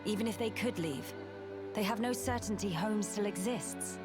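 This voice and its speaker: Woman acting stern game noblewoman, formal, measured, authoritative, mid-pitched voice, music behind. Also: lightly acted. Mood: earnest, urging. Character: composed, stern noblewoman urging a veteran to act. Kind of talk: video game cutscene acting.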